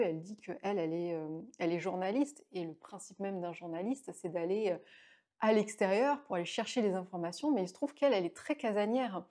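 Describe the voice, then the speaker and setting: Late-30s woman rambling about books at home, lapel mic, soft mellow tone, lots of ums. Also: medium pitch. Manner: chatty, many fillers and restarts. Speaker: book vlogger. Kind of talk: casual book review to camera. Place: small indoor room at home.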